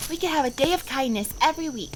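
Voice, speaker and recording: A woman's voice: sits high, animated, fast talker, a little hiss or hum, low-quality recording. A woman playing a sweet, eager little twin girl in a children's audio drama. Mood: happy, sweet.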